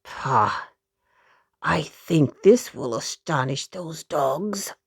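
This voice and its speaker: Storybook gentleman rabbit read by a woman, mild yet determined, measured pace, slightly creaky. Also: low voice, lively intonation, no echo, clean studio sound, voice pushed down, heavily acted. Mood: smug. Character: gentleman rabbit with crutch, plucky and resourceful. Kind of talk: children's storybook character voice.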